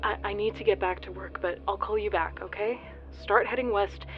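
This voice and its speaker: A woman's voice: medium pitch, fairly even intonation, brisk, natural voice. A woman playing a worried, insistent husband on a phone call in a radio drama. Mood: insistent, caring. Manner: urgent, insistent. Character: urgent, worried husband calling his wife by phone. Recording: dull phone-call effect, faint music.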